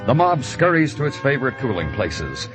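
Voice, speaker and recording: A man playing a world-weary big-city American detective, narrating in a dramatic, deliberate 1950s radio noir style. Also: mid-range voice, sing-song, close to an everyday voice, poor audio. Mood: moody, dramatic. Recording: old radio recording, loud music behind.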